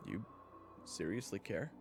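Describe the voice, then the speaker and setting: A man's voice: low voice, big pitch swings, measured pace, creaky, darkish tone, faint music behind, exaggerated voice. A man playing an earnest, candid human traveler in a fantasy audio roleplay, speaking casually and expressively. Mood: candid, rueful. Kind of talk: audio roleplay acting with first-person narration.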